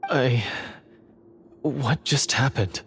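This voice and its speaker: An actor playing a troubled, bitter young hunter's heir in a video game, speaking earnestly and dramatically. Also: male voice, mid-range voice, drawn-out words, slight background noise. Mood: dazed.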